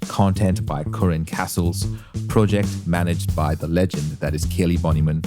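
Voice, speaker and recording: Deep-voiced man hosting a podcast. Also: level tone, moderate pace. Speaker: podcast host. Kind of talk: scripted podcast outro. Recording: music bed behind voice.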